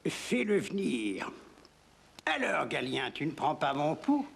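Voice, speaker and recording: A man's voice: on the high side, huge pitch swings, slow, creaky, fairly bright tone, slightly rough, full character voice. A man playing a haughty, impatient, commanding Roman emperor in a cartoon.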